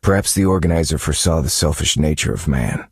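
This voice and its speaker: An actor playing a brooding detective who narrates a dark mystery game story in a grave, measured, dramatic manner. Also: male voice, extremely low pitch, lively intonation, husky, heavily acted. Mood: ominous, grave.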